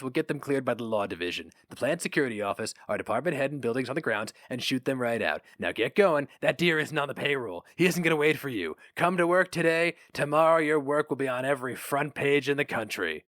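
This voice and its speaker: A man voicing a brash, cynical, fast-talking male publicity boss, barking and emphatic, in a story reading. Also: mid-range voice, a bit bright, no room sound, studio quality.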